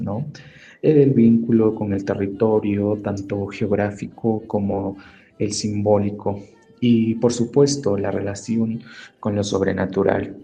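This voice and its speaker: A man from Mexico, a literature scholar, explaining in a measured podcast talk with frequent "eh" and "¿no?" tags. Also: on the low side, mellow.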